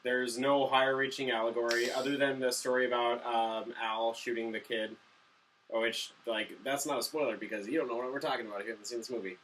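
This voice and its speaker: Male co-host, medium pitch, bright edge, casual unscripted delivery, slightly distant pickup. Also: little pitch movement, fairly quick, a little hiss or hum. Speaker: co-host of a movie review show. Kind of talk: casual unscripted review chat.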